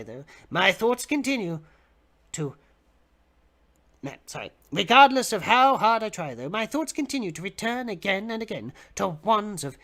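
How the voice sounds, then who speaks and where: A man giving a theatrical, expressive dramatic reading of fiction as the narrator. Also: sits high, creaky. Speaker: dramatic reader.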